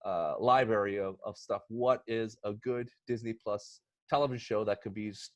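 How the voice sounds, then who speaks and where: A man's voice: on the low side, normal speed, dry recording. A man hosting a podcast in casual chat, with a jokey, rambling manner and frequent asides. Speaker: podcast host. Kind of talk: casual chat, introducing topics and pitches.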